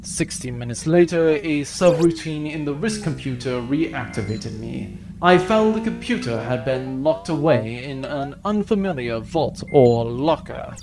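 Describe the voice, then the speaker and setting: A man's voice: medium pitch, lively intonation, measured pace. A man playing a polite, deferential artificial intelligence with formal, wordy speech in a science fiction comedy audio drama. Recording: echoey reverberant voice over music.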